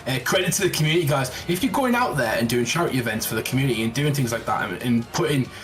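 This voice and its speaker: A man co-commentating a live fighting game match, quick with exclamations. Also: medium pitch, faint music behind. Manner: fast, animated exclamations. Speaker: esports co-commentator.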